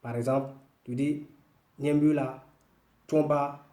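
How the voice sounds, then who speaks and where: A man from northwestern Ivory Coast with an Ivorian accent, a native Woloduka speaker, giving an informal language lesson in a deliberate, explanatory way. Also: a little high, little pitch movement, warm tone. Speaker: language teacher, video host. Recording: roomy, echoing room sound.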